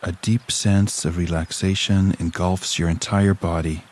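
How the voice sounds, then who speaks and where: A man narrating a scripted guided relaxation exercise in a calm, soothing, measured manner with long pauses. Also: low-pitched.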